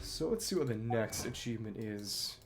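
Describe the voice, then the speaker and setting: A man's voice: fairly low pitch, lively intonation, moderate pace. A man doing live gameplay commentary as a streamer, thinking aloud in an understated, wry, musing way. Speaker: video game streamer. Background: game music.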